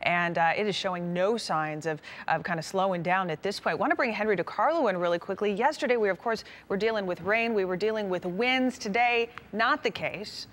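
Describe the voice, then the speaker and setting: A woman's voice: medium pitch, brisk, hardly any pauses, a bit bright. An American woman anchoring live morning news, ad-libbing and handing over to colleagues. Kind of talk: live ad-libbed anchoring and handoffs.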